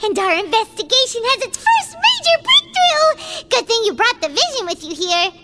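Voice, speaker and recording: A woman's voice: very high voice, wildly swooping pitch, normal speed, full character voice. A woman voicing a tiny, chirpy fairy-like girl companion in a video game, who bubbly talks about herself in the third person. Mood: excited. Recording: game audio with loud background noise.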